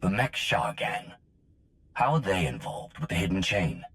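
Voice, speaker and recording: Game operative: very low but sharp-edged, curt, dutiful, self-assured. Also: male voice, very animated, unhurried, heavily acted. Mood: cool, curt. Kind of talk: cinematic video game acting.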